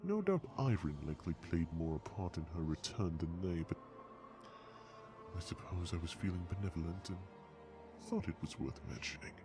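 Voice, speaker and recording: A man's voice: low voice, animated, normal speed, music in the background. A man voicing a haughty, condescending dragon courtier who speaks with formal disdain in an audio drama. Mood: grudging, condescending. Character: haughty, grudging male dragon courtier, scornful of humans. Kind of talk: audio roleplay drama acting.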